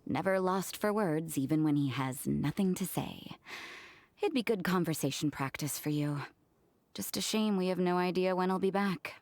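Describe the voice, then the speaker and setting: A woman's voice: lowish voice, normal speed, a little mellow, natural voice. An actress playing a cool, sardonic young woman in a video game, with a dry, teasing, aloof manner. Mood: wry.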